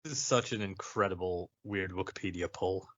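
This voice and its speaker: A man's voice: deep voice, brisk, a bit bright. Man bantering casually on a lo-fi podcast. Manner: swears freely. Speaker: podcast co-host.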